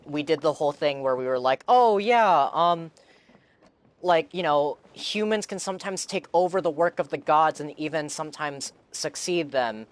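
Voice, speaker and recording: A man's voice: fairly high pitch, normal speed. A man livestreaming a game, chatting casually and rambling as he muses aloud to his viewers. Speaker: game streamer. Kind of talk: casual livestream chat while playing.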